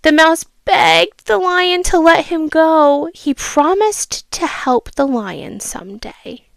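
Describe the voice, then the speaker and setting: Woman, children's storyteller, unhurried pace, higher pitch, expressive teacherly manner, asking the listener questions. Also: vocal fry, no echo. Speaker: storyteller reading children's books. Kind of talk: animated read-aloud for children with asides.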